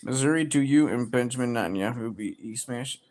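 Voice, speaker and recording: Man, early 30s, casual livestream chat, crude jokes, loud bursts. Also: mid-range voice, a little fast. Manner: profane, joking, loud outbursts.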